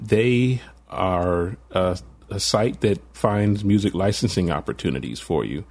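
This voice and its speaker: A man's voice: sits low, a little mellow. A man co-hosting a podcast, giving a music tip in a hesitant, casual way with lots of ums and uhs. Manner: hesitant, many fillers. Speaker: podcast co-host. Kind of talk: casual chat.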